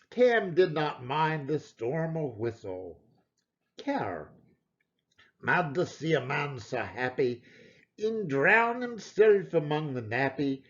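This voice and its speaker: A man recites an old narrative poem with theatrical relish. His fairly high voice swings widely in pitch, and he takes his time over each phrase. The delivery is expressive storytelling in Scots. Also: dull audio. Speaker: poetry reader.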